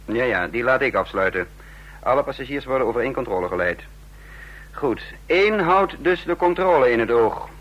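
A man playing a sardonic, commanding chief of secret agents in a radio play, brisk and teasing as he gives orders. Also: medium pitch, expressive, fairly bright tone, lo-fi sound. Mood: brisk, instructing. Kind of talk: Dutch radio play acting.

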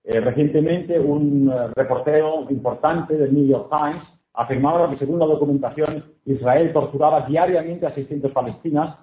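A man's voice: a little high, normal speed. Spanish male interpreter in an echoey hall. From Spain. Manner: paraphrasing each phrase into Spanish, with frequent hesitations. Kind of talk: consecutive interpreting at a book presentation. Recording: echoey hall, tinny phone-like sound.

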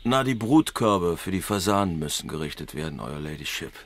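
Gruff, low-voiced gamekeeper. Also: male voice, animated, a little fast, a little hiss or hum. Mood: curt, deferential. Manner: terse, blunt, grudging. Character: gruff, reserved gamekeeper, curtly deferential to the lady. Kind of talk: naturalistic German radio play acting.

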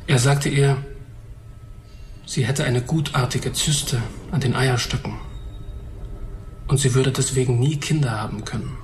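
An actor in a radio drama playing a heavyset young blind man, bitterly candid, telling things gravely and deliberately. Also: medium pitch, steady tone, slight background noise, natural voice.

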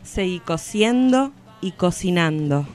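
An actress playing a defiant woman who speaks for all women, in a solemn, deliberate radio theatre recitation. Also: lowish voice, expressive, a little mellow, soft background music. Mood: proud, grieving. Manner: solemn, deliberate, poetic declamation. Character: defiant collective woman of all ages, grieving and accusing.

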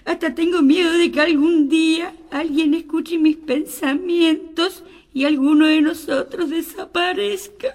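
A woman's voice: extremely high pitch, fairly even intonation, unhurried. A woman playing a loving, anxious mother of a soldier in a radio play, emotional and tender. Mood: anguished. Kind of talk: amateur radio play acting.

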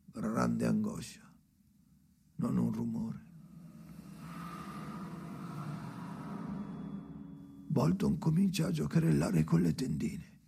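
A man playing a haunted, brooding storyteller in an experimental radio drama, speaking in halting, repeated fragments with a creaky voice that often drops to whispers. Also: mid-range voice, noisy background, heavily acted. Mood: bleak, tense. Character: haunted brooding man narrating memories alone by the sea.

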